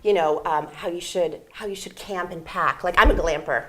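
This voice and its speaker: A woman's voice: on the low side, normal speed, a crowd in the background, low-quality recording. A young woman chatting as an interview guest, talkative and giggly, with many fillers. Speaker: interview guest, audiobook narrator. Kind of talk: casual interview chat.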